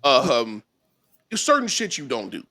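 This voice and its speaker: A man's voice: medium pitch, huge pitch swings, a little slow, bright, forceful tone. A man co-hosting a casual podcast, chatting loosely with a friend, laughing often and repeating himself. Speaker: podcast co-host. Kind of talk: casual crude banter.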